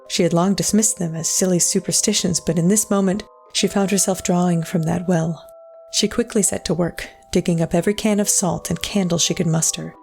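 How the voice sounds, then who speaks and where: A solemn female storyteller with a lowish, crackly voice, over faint music. She narrates a dramatic audio story in a measured, literary and somber manner. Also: creaky, darkish tone. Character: solemn female storyteller of a sad ghost tale.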